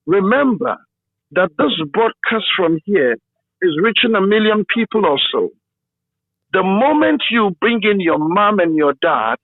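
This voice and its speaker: A man with a Ghanaian accent speaks in a high voice as an interviewed broadcaster. He tells his story emphatically and checks in with the listener as he goes. The sound is dry and somewhat muffled, like a radio feed. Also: dry recording. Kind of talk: conversational interview reminiscence.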